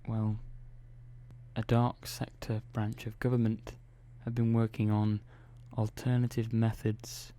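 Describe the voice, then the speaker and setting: A man playing a calm, methodical robot engineer in an ASMR roleplay, speaking softly and slowly with hesitant pauses. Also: lowish voice. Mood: confiding. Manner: soft-spoken, slow and hesitant, many pauses and "well"s.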